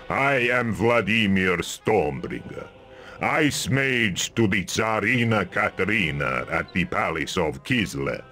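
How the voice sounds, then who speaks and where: Aged wizard, formal, ominous gravity, unhurried delivery, fantasy game, faint music. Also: male voice, fairly low pitch, sharp tone, exaggerated voice. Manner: grave, formal, portentous. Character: solemn old male ice mage, royal envoy. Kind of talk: fantasy video game acting.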